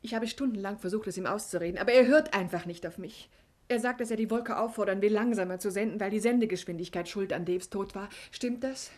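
A woman's voice: medium pitch, quick pace, darkish tone, lightly acted. An actress playing a worried, insistent woman in a 1950s radio drama, pleading in an urgent, earnest manner.

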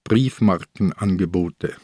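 An actor playing a shrewd, stubborn old bedridden police inspector in a radio crime drama, husky, terse and dry. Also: male voice, deep voice, takes it slowly, warm tone, dry recording, exaggerated voice. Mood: dry, wry.

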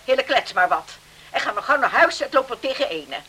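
An actress playing a bossy, weary middle-aged village mother in a Dutch radio play, brisk and repeating herself. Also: sits high, big pitch swings, pushed and bright, full character voice. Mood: brisk, scolding. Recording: noisy old radio play recording.

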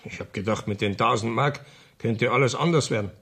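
An actor playing a reassuring, official police commissioner in a radio play. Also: male voice, mid-range voice, creaky, fairly bright tone, husky. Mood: probing. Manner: measured, official, placating. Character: smooth-talking, reassuring male police commissioner. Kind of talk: 1970s German radio play acting.

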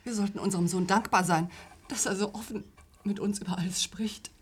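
A woman's voice: mid-range voice, fairly even intonation, a little fast, a little hiss or hum, close to an everyday voice. An actress playing a flustered, over-earnest middle-aged mother in a radio play, trying hard to sound tolerant and stumbling as she goes.

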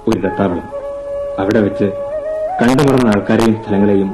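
A man playing a gentle, regretful wanderer come back to his childhood sweetheart in a Malayalam radio drama, earnest and poetic. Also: on the low side, over loud music, natural voice. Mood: nostalgic. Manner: earnest, poetic, measured delivery.

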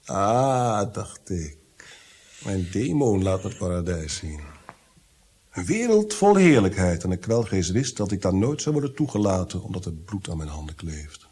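A tormented prince in a radio play, low and very husky. His delivery is theatrical and swings widely from hushed, haunted awe to agitated outbursts. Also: very animated, normal speed, exaggerated voice. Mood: intense, probing. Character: brooding, tormented nobleman prince, haunted by guilt and visions. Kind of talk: Dutch radio drama acting.

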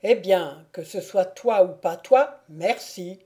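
A woman's voice: lowish voice, lively intonation, takes it slowly, dark tone. A woman voicing a huge male stranger who is scornful and commanding.

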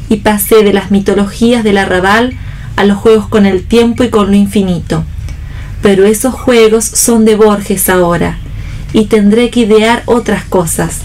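An Argentine woman with a medium-pitched voice takes part in a theatre programme on the radio. She speaks as a panelist and reader of literary texts. There is light noise and ambience behind her. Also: a little mellow, rough recording. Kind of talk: literary reading aloud and round-table talk.

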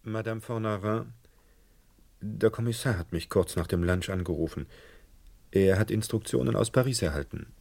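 An actor playing a discreet, deferential hotel gentleman in a German radio crime drama, formal and slightly hesitant, with a gravelly, husky voice. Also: fairly low pitch, moderate pace. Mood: discreet, informing. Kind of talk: German radio play acting.

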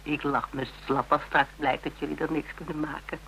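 High, sharp-tongued confidant. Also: male voice, level tone, brisk, vocal fry, slight background noise, low-quality recording. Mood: mocking, skeptical. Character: sharp, teasing, skeptical confidant of a policeman. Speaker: actor in a radio drama. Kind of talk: radio drama dialogue.

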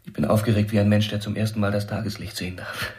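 An actor playing a fearful, fragile young musician in hiding, halting and introspective, in a radio drama. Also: male voice, lowish voice, flat delivery, a little mellow, close to an everyday voice. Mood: nervous wonder. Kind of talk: German radio drama acting, inner monologue.

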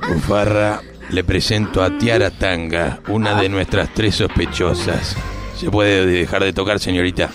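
A man's voice: sits low, steady tone, a little slow, over music. An actor playing a bumbling police officer who briefs his boss in a hesitant, matter-of-fact way in an amateur radio play. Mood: briefing.

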